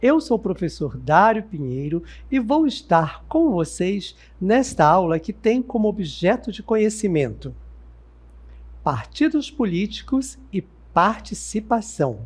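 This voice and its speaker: A man from Manaus, teaching a recorded sociology lesson and speaking straight to his students, asking questions and answering them himself. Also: high-pitched. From Manaus, Amazonas, Brazil. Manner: lively and didactic, talks straight to students, asks and answers own questions. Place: studio set with Amazon scenery backdrop.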